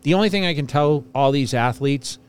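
A man's voice: on the high side, fairly quick, clear, resonant tone. Emphatic male podcast host. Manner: emphatic, rambling explanations. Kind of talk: casual podcast chat.

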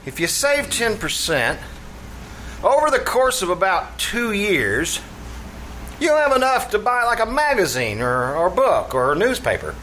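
A man's voice: sits high, very animated, a little slow, bright, forceful tone, full character voice. A man playing a brash tavern manager in old-time radio comedy, lecturing pompously in streetwise slang. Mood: pompous, know-it-all. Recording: faintly noisy live theatre stage recording.

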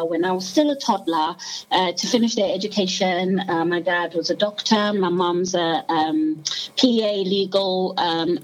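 Lowish-voiced woman from Zimbabwe, thirties. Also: poor audio. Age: 30s. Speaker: podcast guest. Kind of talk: casual personal recollection.

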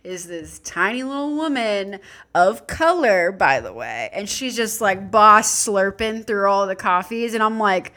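A woman's voice: medium pitch, expressive, measured pace, hardly any pauses, sharp tone. A woman in her thirties with an American accent, co-hosting a podcast in frank, slangy, sweary casual chat. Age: 30s. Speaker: podcast co-host.

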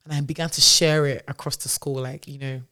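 A woman's voice: rumbling low, steady tone, brisk, bright, forceful tone. A woman who grew up in Nigeria, a tech founder talking as a podcast guest in a chatty way, with many fillers and restarts. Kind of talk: casual interview storytelling.

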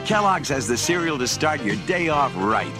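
A fairly high, animated male announcer delivers an upbeat advertising read. Loud jingle music plays right behind his voice. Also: moderate pace. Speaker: commercial announcer.

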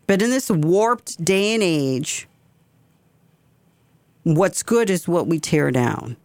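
A woman's voice: on the low side, dry recording. A woman hosting a community radio talk show, speaking with passion and repeating phrases for emphasis. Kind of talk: opinionated talk radio monologue.

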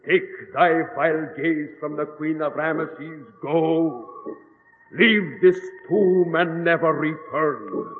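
An actor in a 1940s radio drama playing a menacing unseen tomb guardian, with solemn, archaic pronouncements. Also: male voice, on the high side, slow pace. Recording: echoing, tinny old radio recording with noise.

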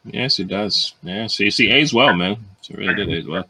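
A man's voice: on the low side, lively intonation, normal speed, crowd noise. A man co-hosting a podcast, chatting casually and joking, chiming in agreeably with the others. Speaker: podcast co-host.

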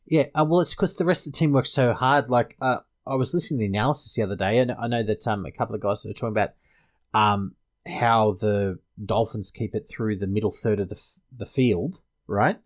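A man's voice: on the low side, brisk. A man with an Australian accent hosting a casual fantasy sports panel discussion. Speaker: podcast host.

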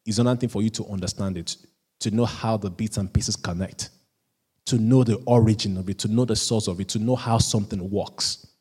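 A pastor preaching a sermon, often repeating and restarting phrases, and chuckling at himself now and then. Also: male voice, lowish voice, steady tone, breathy edge. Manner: rises into louder, slower emphatic reading of scripture.

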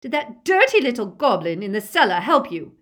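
A woman's voice: a little high, sing-song, measured pace, a bit bright, pitched above the performer's own voice. A woman voicing a cruel, deranged witch in an audiobook, screaming and screeching as she interrogates. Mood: enraged, suspicious.

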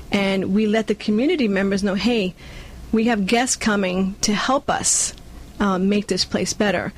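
A person with a New York accent and Spanish as a first language, earnestly answering interview questions as a community organizer and acting out quotes along the way. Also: medium pitch. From born in Cuba, grew up in Brooklyn, New York. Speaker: community nonprofit leader being interviewed.

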